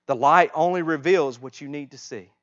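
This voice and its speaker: A man voicing gentle creature messengers in a children's storybook, announcing solemnly. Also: fairly high pitch, normal speed, muffled recording, pitched above the performer's own voice, natural voice. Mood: solemn reassurance. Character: gentle creature messengers: frog, moths, firefly, angel fish.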